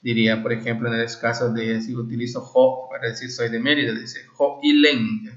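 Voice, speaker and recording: A man's voice: medium pitch, level tone, fairly quick, fairly bright tone. A man teaches a language class informally, explaining phrases and often checking that listeners follow. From Valladolid, Yucatán, Mexico. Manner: explanatory, frequent check-in questions. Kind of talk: informal classroom lesson with translated examples. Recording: dull, lo-fi, echoey room.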